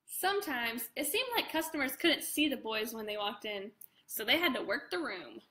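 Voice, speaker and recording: A woman reading her own picture book aloud to children in an expressive way, with casual chatty asides. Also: on the high side, normal speed. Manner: expressive, addresses young listeners directly; casual, informal commentary between passages.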